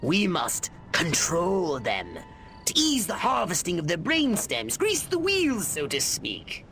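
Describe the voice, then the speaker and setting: A man playing a pompous, scheming, excitable little alien mastermind in a video game, with theatrical, ornate delivery. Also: high-pitched, takes it slowly, slight background noise, full character voice. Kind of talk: video game cutscene acting.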